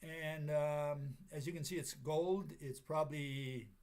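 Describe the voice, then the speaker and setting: A man with a raspy, hoarse voice from a cold, giving a casual lecture with many pauses and fillers. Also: fairly high pitch, fairly even intonation, darkish tone. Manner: halting, many fillers, chuckles at himself.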